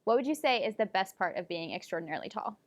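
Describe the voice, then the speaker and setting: A woman's voice: medium pitch, animated, fast talker, no room sound. A woman interviewing people and narrating as a reporter in a mock investigative report.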